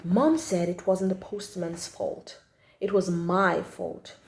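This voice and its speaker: A woman reading a children's story aloud in an expressive, emphatic storytelling style. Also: on the low side, slow pace, gravelly. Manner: animated, emphatic, acts out the child narrator's feelings. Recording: echoey room.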